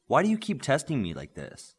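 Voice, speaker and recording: A man's voice: medium pitch, wildly swooping pitch, brisk, husky, voice pushed up, exaggerated voice. A man voicing an eager eleven-year-old superhero boy in an audiobook, asking and answering in short, earnest bursts. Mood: curious.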